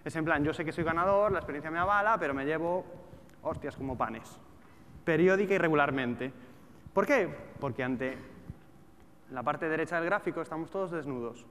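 High-voiced Spanish man presenting in an echoey hall. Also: moderate pace. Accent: European Spanish. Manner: colloquial, animated, throws rhetorical questions at the audience. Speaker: speaker, trader and trading educator. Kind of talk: informal presentation to a live audience. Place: large auditorium stage with seated audience. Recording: echoey hall with background noise.

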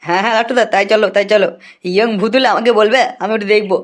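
A man playing an excitable, lecherous village man in a comic cartoon, talking colloquially with whiny questions. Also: sits high, rapid-fire, lightly acted. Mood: eager.

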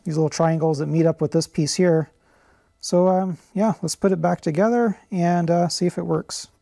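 A middle-aged man hosting a how-to repair video in his workshop garage, talking in a relaxed, explanatory way with lots of "uh" and "um," on a lapel mic. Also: on the high side, moderate pace, vocal fry. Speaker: channel host.

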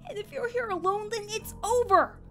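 A woman's voice: very high voice, moderate pace, bright, forceful tone, faint music behind, exaggerated voice. A woman voicing a tough, protective, weary young female cartoon pony with a brash, blunt manner in a horror story. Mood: urgent, insistent.

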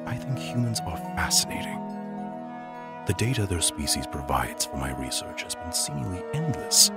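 Deep, brooding skeleton scientist father, acted by a man. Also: big pitch swings, exaggerated voice. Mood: thoughtful. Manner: formal, deliberate, often breathy. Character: tall skeleton scientist father, weary, brooding, gently scholarly. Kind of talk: dramatic comic dub acting. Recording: loud music behind the voice.

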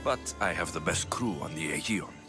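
A man's voice: sits low, expressive, normal speed. A man playing a stoic, determined Greek mercenary in his thirties, terse and brooding, in a video game. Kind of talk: dramatic video game acting. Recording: music behind.

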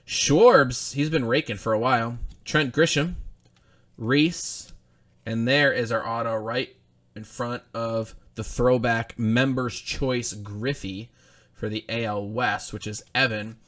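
A man's voice: mid-range voice, steady tone, takes it slowly, a bit bright. A young man hosting a live card break, chatting casually as he rattles off player names while opening packs at a desk in a small studio. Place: indoor studio with branded backdrop.

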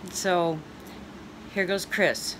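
An older woman filming a home cooking video on her phone, adding slow asides and chuckling now and then. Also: lowish voice, steady tone, noisy background. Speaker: co-host filming. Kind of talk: casual cooking demo. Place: small home kitchen. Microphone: handheld phone.

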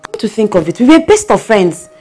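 Actress as a frank, animated Nigerian woman. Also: mid-range voice, brisk, soft background music. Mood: insistent. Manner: insistent, reproachful. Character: frank, concerned female friend confronting a man. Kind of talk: film drama dialogue.

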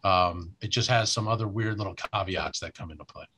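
A man's voice: deep voice, brisk, pushed and bright, a little hiss or hum. A man explaining something casually in a meeting. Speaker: meeting participant.

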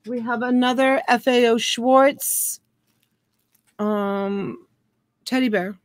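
Woman, forties, folksy auction host, chatty, drawn-out bid calls, webcam at home, dry sound. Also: on the high side, fairly even intonation, dry recording. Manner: chatty, folksy, slowing down to draw out bids. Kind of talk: casual live-stream auction chat. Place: home room full of plush toys and a dress form. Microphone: webcam on a computer.

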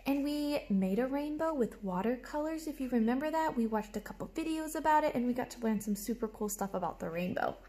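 A young adult woman reading a storybook aloud to children like a teacher, with animated, explanatory asides, recorded in a room at home. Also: sits high, brisk, few pauses. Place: small room at home, indoors. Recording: clear background noise, room ambience.

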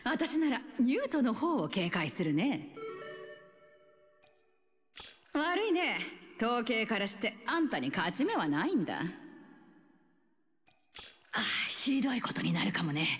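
A middle-aged scientist from a video game. Her voice sits fairly high and swings widely in pitch. She is motherly and teasing, with brassy, theatrical phrasing and a quietly ruthless edge. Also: husky, full character voice. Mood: teasing, coolly warning. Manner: brassy older-woman phrasing, playful and theatrical. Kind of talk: Japanese game dub voice lines.

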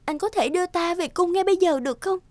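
Very high-voiced young princess. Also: female voice, quick pace, no room sound. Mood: grateful. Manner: tender, emotional. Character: gentle young princess, grateful, devoted daughter. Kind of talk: animated folktale dubbing.

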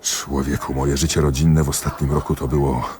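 A man acting in a radio play as a cynical, jealous middle-aged examining magistrate who speaks emphatically and haltingly. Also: very deep voice, level tone, darkish tone. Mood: agitated confession. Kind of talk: theatrical radio drama dialogue.

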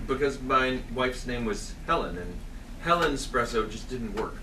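A man's voice: medium pitch, lively intonation, normal speed, lightly acted. A man playing an anxious widowed coffee shop owner in a comedy table read. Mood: deadpan. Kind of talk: comedy table read before a live audience. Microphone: far camera. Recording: distant, echoey stage recording with background noise.

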